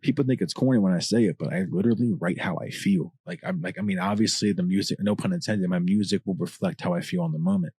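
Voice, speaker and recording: A man with a deep voice, a rapper talking as a podcast guest, passionate, swearing often and rambling in long answers. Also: expressive, fast, creaky, few pauses, darkish tone, breathy edge, dry recording. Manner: passionate, profane, long-winded. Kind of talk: casual candid chat.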